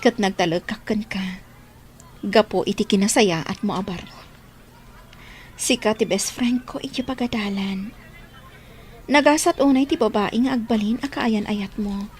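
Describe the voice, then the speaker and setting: A woman's voice: medium pitch, unhurried, long pauses, natural voice. A woman playing a kind young student in an audio drama, with an Ilocano Filipino accent. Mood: tender, hesitant. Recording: faint ambient background noise.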